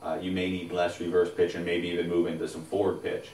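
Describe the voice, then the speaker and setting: A man in his thirties co-hosting a bowling advice show, explaining in a relaxed way with fillers in casual chat. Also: deep voice, quick pace, slight background noise. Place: indoors, small studio against a plain backdrop. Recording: roomy echo, voice a bit far from mic.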